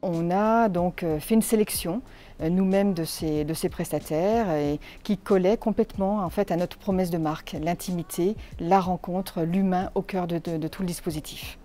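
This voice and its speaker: A woman's voice: lowish voice, moderate pace, dark tone, soft background music. A woman giving explanatory interview answers as a tourist office representative, searching for her words as she goes.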